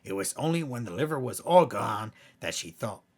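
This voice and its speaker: A man narrating scary bedtime stories as a host, in a theatrical way with emphatic swells and hushed drops. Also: mid-range voice, lively intonation, moderate pace. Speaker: storyteller, host.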